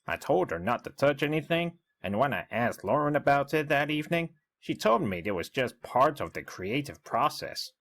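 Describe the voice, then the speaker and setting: A man's voice: medium pitch, very animated, normal speed, few pauses, fairly bright tone. A man playing a chatty, wry small-town innkeeper narrating his diary in an audio story. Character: chatty, mildly skeptical small-town bed-and-breakfast owner.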